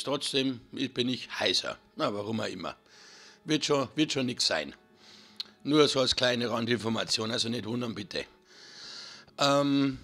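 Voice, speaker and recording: A hoarse man, chatting casually to viewers while commentating his own gameplay. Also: mid-range voice, a bit bright. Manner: chatty, addresses viewers as friends. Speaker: gaming channel host. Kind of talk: casual let's-play commentary.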